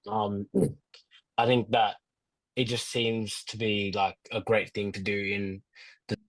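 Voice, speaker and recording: A young man answering questions as a prospective client, in a hesitant, halting and understated way. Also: fairly low pitch, little pitch movement, no room sound, poor audio. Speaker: prospective client on a sales call.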